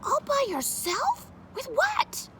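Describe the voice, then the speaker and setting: A woman voicing an excitable, naive young boy in a storybook, wide-eyed, folksy and stumbling over words. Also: very high voice, takes it slowly, a little hiss or hum, pitched above the performer's own voice, full character voice. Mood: curious.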